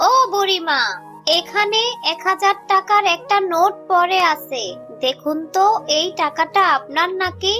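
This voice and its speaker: Performer as a very high, bright cartoon mother fox. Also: exaggerated voice. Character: poor, honest, tender mother fox. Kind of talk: children's cartoon dubbing. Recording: faint music under the voice.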